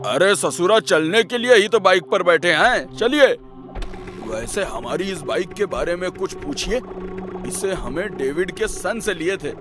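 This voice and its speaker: Man acting chatty property broker, high male voice, eager, colloquial, comic cartoon delivery. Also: lively intonation, unhurried, fairly bright tone, soft background music. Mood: excited, welcoming. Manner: effusive, colloquial, ingratiating. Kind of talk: comic animated story acting.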